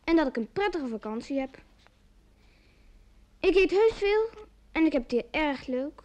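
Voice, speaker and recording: A woman's voice: sits high, expressive, a little slow, a little mellow, a little hiss or hum. A woman playing a chatty child on holiday in a radio play, on a dull old recording. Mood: eager, chatty. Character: chatty child writing home from holiday with aunt.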